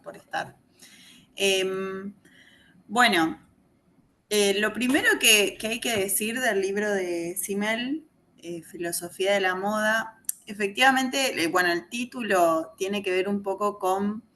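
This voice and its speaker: A woman's voice: medium pitch, fairly bright tone, a little hiss or hum. An Argentine woman moderating a book discussion on a video call, talking thoughtfully with many fillers. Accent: Argentine Spanish. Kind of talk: slow, thoughtful literary talk.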